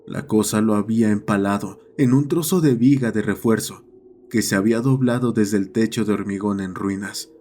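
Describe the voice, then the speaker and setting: A man's voice: fairly low pitch, normal speed, mellow, slight background noise. A man reading a scripted horror story as its narrator.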